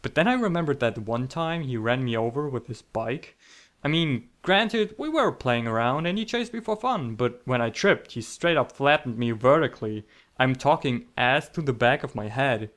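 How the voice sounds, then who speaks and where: A man's voice: mid-range voice, animated, normal speed, fairly bright tone. A man telling comedic scripted stories in a theatrical, sarcastic, mock-dramatic manner. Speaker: storytelling video creator.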